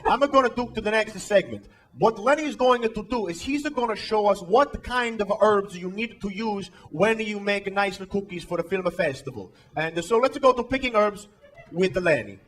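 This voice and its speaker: Vain Italian TV chef played by a man: high-pitched male voice, Italian accent, pompous showman presenting a comedy cooking show, music underneath. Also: animated, moderate pace, a bit bright. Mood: brisk, showy. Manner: pompous, theatrical. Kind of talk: comic sketch presenting.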